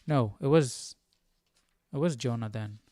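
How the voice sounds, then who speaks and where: A man hosting a casual Bible study livestream, haltingly thinking aloud as he comments. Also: medium pitch, warm tone, no room sound, studio quality.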